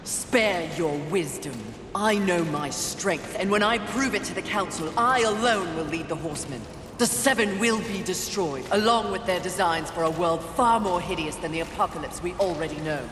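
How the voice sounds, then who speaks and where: A woman playing a fierce, proud female horseman of the apocalypse in a video game, speaking in a haughty, defiant and forceful manner. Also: on the low side, normal speed, few pauses, lightly acted. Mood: proud, determined. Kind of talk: dramatic video game cutscene acting. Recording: echoey, reverberant, noisy ambience behind. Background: noisy ambience.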